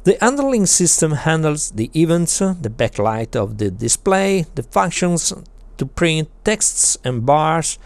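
Male maker, middle-aged, for technical explainer: slow, halting delivery, plenty of creak. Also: on the high side, very animated, no room sound. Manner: halting, deliberate phrasing. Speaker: maker presenting an electronics project. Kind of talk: technical explanation of a homemade device.

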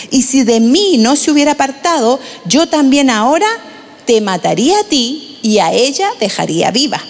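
High-voiced woman pastor preaching. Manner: measured, slows down to read scripture aloud. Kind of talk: sermon with scripture reading. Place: large church stage with pink backdrop. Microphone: handheld microphone. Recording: long hall reverb.

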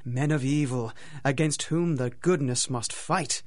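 A man's voice: mid-range voice, measured pace, natural voice. A man narrating a radio drama in a theatrical, dramatic storytelling manner.

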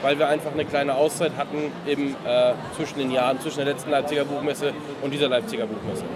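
A man's voice: medium pitch, fairly even intonation, fast talker. A young man answering casually as an interview guest at a fair booth, searching a little for words. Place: book fair booth in a large hall. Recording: loud trade fair crowd din.

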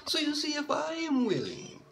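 A woman's voice: high-pitched, wildly swooping pitch, normal speed, gravelly, music in the background. A woman telling a comic story in a stagey put-on voice, with mock-formal phrasing, stammered repetitions and whispered asides. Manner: puts on a stagey persona accent.

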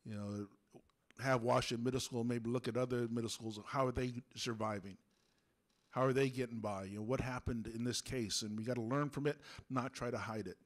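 Middle-aged guy with a cold, kinda hoarse, clears his throat, lots of ums, handheld mic in a meeting room. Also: medium pitch, fairly even intonation. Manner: halting, many fillers. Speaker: school board director. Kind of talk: board meeting remarks. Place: school board meeting room. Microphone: handheld microphone held close.

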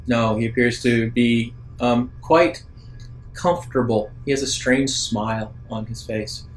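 A man acts as game master for a tabletop roleplaying session. His voice sits at a middle pitch, and he lays out each scene deliberately, often pausing midway through a description. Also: little pitch movement, slight background noise. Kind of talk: improvised narration of a tabletop sci-fi roleplaying game.

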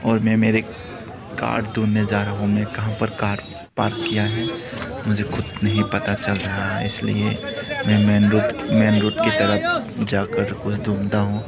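Low, monotone man vlogging. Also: a little slow, creaky, loud music behind the voice. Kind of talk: casual walk-through vlog commentary. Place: outdoor street market, walking. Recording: loud busy outdoor crowd noise.

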